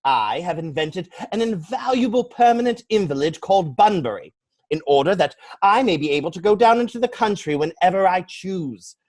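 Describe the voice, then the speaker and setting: A man playing a witty, flippant young English gentleman in a stage comedy reading, declaiming with pompous mock seriousness. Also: high-pitched, lively intonation, moderate pace. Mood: smug, teasing.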